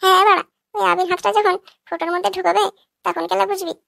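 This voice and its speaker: Performer as a shrill, scolding old grandmother. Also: little pitch movement, fast, creaky, full character voice. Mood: nagging. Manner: sharp, nagging, crude. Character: foul-mouthed scolding old village grandmother.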